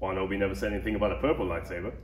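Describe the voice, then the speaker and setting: A man's voice: deep voice, fairly even intonation, racing, natural voice. A man playing a tough, confident mercenary bounty hunter and protective father at a script read-through. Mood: cocky. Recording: echoey, noisy, distant room mic.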